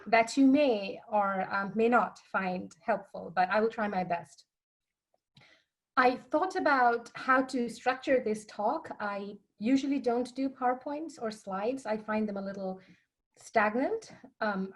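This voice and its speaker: A woman giving an informal, polite and earnest talk to students as guest speaker over a video call. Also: fairly high pitch. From Bangladesh.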